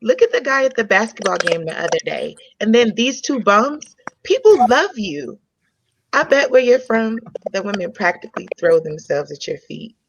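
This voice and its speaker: A woman reading the part of a warm, admiring young woman on a romantic date in a comedy table read. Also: medium pitch, sing-song, moderate pace, gravelly. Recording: dull, slightly noisy home recording.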